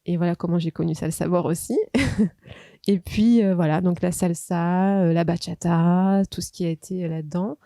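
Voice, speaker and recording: A woman's voice: fairly low pitch, fairly even intonation, normal speed, warm tone, dry recording, clean studio sound. A middle-aged woman from Marseille, a dancer, recalling her path in an interview with many hesitations. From Marseille, France. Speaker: dancer at the school.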